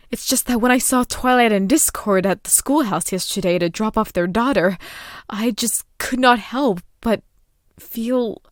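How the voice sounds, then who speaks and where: A woman's voice: mid-range voice, a bit bright, no room sound, studio quality. Woman acting a wistful regal pony princess, closely recorded. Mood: wistful, exasperated. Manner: sighing, wistful, theatrical. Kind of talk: scripted fan audio drama acting.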